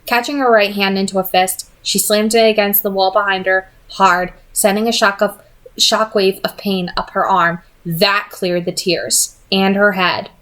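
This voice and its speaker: A woman's voice: medium pitch, steady tone, measured pace, a bit bright, low-quality recording. Woman narrating a novel aloud. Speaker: reader of a novel read-aloud. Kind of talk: expressive amateur audiobook narration.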